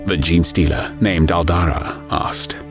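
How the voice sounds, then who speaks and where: A man narrating a dark science fiction story in a scripted, dramatic, measured and ominous style. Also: low-pitched, fairly even intonation. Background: music.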